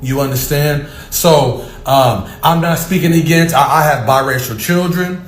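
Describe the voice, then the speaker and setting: Slow, roomy-sounding male commentator. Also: fairly high pitch, faint music behind. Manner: emphatic, repeats phrases, halting. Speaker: commentator on a talk channel. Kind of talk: off-the-cuff opinion commentary.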